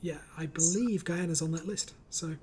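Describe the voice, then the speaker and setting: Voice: medium pitch, fairly even intonation, moderate pace, heavy background noise. A host of a casual online group chat, reading out comments and speaking in an encouraging, reassuring way over a voice call.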